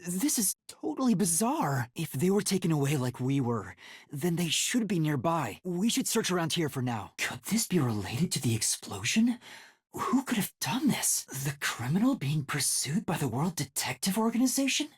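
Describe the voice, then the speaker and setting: A man voicing an earnest, timid young male detective in a video game, soft-spoken and hesitant, with a breathy, youthful voice. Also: a little high, no echo, studio quality, exaggerated voice. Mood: anxious, puzzled. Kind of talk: English video game voice acting.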